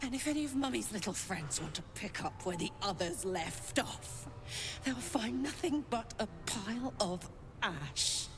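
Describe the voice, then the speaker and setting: A woman's voice: mid-range voice, lively intonation, a little slow, a little hiss or hum, lightly acted. An actress playing a brash, swaggering, defiant demon warrior woman in a video game. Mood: angry, defiant.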